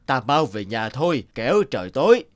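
A man voicing a patient, middle-aged peasant father in an animated folk tale, teaching in a measured, admonishing way. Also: mid-range voice, lightly acted. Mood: encouraging. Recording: loud music under the voice.

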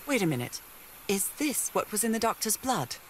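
A woman's voice: medium pitch, very animated, normal speed, a little hiss or hum, natural voice. An actress playing a curious, refined 1930s woman explorer in a video game, musing to herself in a genteel, articulate way. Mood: uneasy, pondering. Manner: genteel, articulate, wonderstruck exclamations.

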